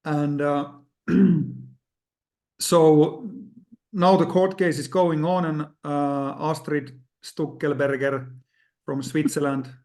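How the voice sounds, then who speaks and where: Flat-voiced Finnish host, halting. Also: male voice, on the high side. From Finland. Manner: halting, many fillers. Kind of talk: interview introduction over a video call.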